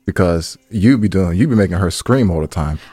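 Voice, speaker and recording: A man's voice: low voice, lively intonation, a little fast, warm tone, husky, dry recording, faint music behind. An American man co-hosting a podcast, chatting casually with slang and profanity, teasing and repeating phrases for emphasis. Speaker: podcast co-host. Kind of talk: casual bantering chat with profanity.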